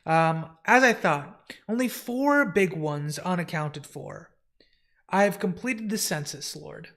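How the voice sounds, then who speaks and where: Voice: medium pitch, lively intonation, slow pace, a bit bright. A reader as a dutiful, deferential male servant. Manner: formal, respectful reporting.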